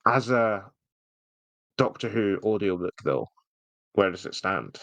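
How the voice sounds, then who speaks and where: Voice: low-pitched, expressive, slow, creaky, fairly bright tone, no room sound. A podcast co-host chatting casually through a review in a playful, jokey way.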